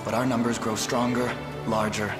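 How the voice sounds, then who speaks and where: A man playing a noble young prince who leads an army in a video game, speaking in a measured, earnest, formal way. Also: medium pitch, little pitch movement, close to an everyday voice. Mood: firm, calm. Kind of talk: video game cutscene acting. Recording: echoey, loud music behind.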